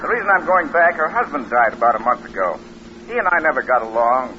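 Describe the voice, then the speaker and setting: A man's voice: medium pitch, very animated, fast talker, sharp tone. An actor playing a friendly, trusting motorist in his late twenties in a mid-century radio drama. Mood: frank, open. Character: friendly, trusting 27-year-old male motorist. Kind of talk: 1950s radio drama acting. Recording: muffled, noisy old radio recording.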